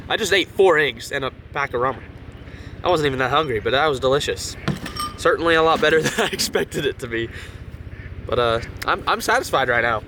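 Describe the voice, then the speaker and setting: A young man with a cold-raspy voice chatting excitedly to camera while car camping by a lake, casual and full of "dude" and "like". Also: mid-range voice, slight background noise. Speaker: vlogger. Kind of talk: casual vlog chat to camera.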